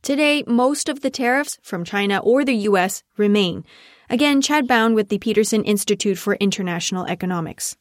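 A woman correspondent narrating a news report in a crisp, measured way. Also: medium pitch, animated, no echo, studio quality.

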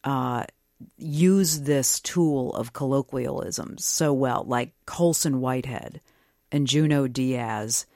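A man's voice: mid-range voice, slow pace, vocal fry, a little mellow, dry recording, studio quality. A man hosting a conversational radio interview, asking curious questions and reading out listener comments. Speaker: radio show host.

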